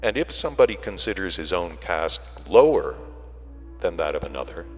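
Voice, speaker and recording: A man reading a literary audiobook in a deliberate way, with frequent pauses between phrases. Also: low-pitched, big pitch swings. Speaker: audiobook reader. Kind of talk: scripted literary narration. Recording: reverberant hall sound with music.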